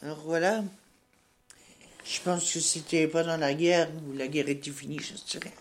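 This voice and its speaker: An older woman from rural Burgundy, a radio columnist telling folksy village anecdotes in dialect in a halting, plain-spoken way, in a radio studio. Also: deep voice. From rural Burgundy, France.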